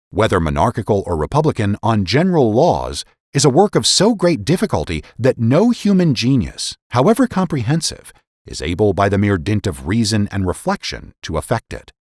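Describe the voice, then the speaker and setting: A man with a low-pitched voice reads a historical political essay aloud as a podcast narrator. His delivery is formal and measured, in an oratorical style with wide, expressive swings in intonation. The sound is dry and close. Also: no echo.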